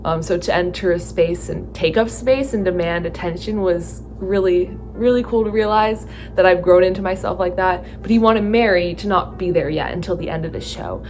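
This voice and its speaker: A tired young woman from California with a Californian accent, talking slowly and thoughtfully about her stage work, with many fillers and restarts. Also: lowish voice, a little mellow, a little hiss or hum. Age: young adult. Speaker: theatre student vlogger. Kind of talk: casual reflective talk to camera.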